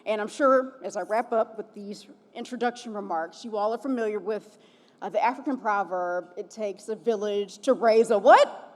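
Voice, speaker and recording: A woman's voice: mid-range voice, moderate pace, darkish tone. A young woman from Chicago, a TV reporter, hosting a gala and cheering on the crowd with call-and-response. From Chicago, USA. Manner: upbeat, rallies the audience with call-and-response. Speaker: event host, TV reporter. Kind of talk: gala emcee speech. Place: large event hall. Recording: long hall reverb from event PA.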